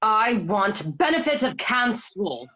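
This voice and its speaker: A woman's voice: medium pitch, big pitch swings, takes it slowly, sharp tone, full character voice. A woman voicing a sly female cat burglar in an amateur radio play, composed and demanding. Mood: scheming. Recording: dull lo-fi home recording.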